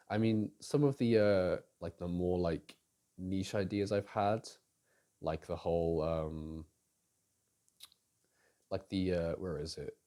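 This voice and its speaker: A young man with a deep voice, casually answering listener questions in a hesitant, rambling way with many fillers. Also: mellow. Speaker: audio roleplay creator answering questions. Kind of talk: casual Q&A chat.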